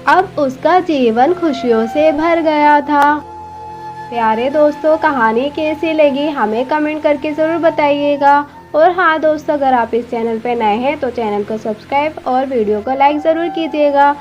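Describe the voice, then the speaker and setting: A woman's voice: high voice, unhurried. A woman narrating a scripted cartoon story for children with emotive, melodramatic delivery. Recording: dull lo-fi audio with background music.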